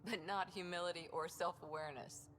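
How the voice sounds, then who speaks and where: Actress as a wry, lowish-voiced woman officer. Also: fairly even intonation, a little fast, a bit bright, a little hiss or hum, close to an everyday voice. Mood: wry, brisk. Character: brisk, sardonic female crew officer. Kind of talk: video game cutscene acting. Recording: slight reverb with music.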